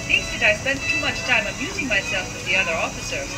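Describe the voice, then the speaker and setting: A woman voicing a courtly gentleman of the French court in a puppet show, formal and deferential. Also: mid-range voice, lively intonation, fast, loud music behind the voice, natural voice. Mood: humble, courteous. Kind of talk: theatrical puppet show dialogue. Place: outdoor puppet theatre, far camera. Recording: distant, very noisy outdoor recording.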